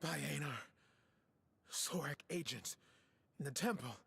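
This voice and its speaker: A man playing a drained, courteous temple marshal and cleric in a fantasy video game, husky and exhausted. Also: on the high side, lively intonation, a little slow, pushed and bright, no echo, full character voice. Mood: strained, alarmed.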